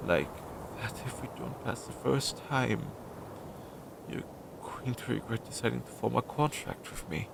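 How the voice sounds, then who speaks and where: Crow familiar, acted by a man, insecure and earnest, unhurried halting delivery, for fantasy audio roleplay; clearly noisy recording. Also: medium pitch, expressive. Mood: distressed, pleading. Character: anxious male crow familiar, insecure, tender, bonded to a witch. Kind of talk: audio roleplay addressed to the listener.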